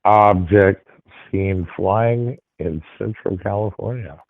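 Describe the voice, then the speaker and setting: A man calling into a show, laid-back and unhurried as he talks about a sighting. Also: on the low side, gravelly, no room sound. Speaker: caller. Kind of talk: casual phone call. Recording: dull dry phone audio.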